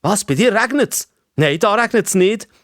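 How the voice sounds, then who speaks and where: An irritable man shouting into his mobile in a children's story, put on by a male narrator. His voice is raised high, loud and agitated, with a Swiss German accent. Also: animated, unhurried, dry recording, clean studio sound, heavily acted. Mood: loud, distracted.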